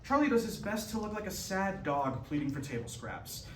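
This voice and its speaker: Male stage-directions reader, high voice, scripted narration, recorded at a distance with room echo. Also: sing-song, normal speed. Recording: echoey room, distant, faint noise.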